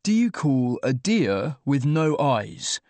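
A male narrator plays a hapless, sardonic young man in an audiobook. His dialogue is emphatic and easily flustered, with wide swings in pitch and a close, dry sound. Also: very animated, slow pace, darkish tone, dry recording. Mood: teasing.

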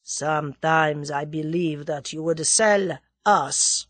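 A woman's voice: fairly low pitch, animated, normal speed, husky, dry recording. A woman voicing a bitter, agitated, menacing Russian man, a conspirator in an audiobook, snarling and exasperated. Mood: sinister accusation. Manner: snarling, forceful, exasperated delivery. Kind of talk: dramatised audiobook character dialogue.

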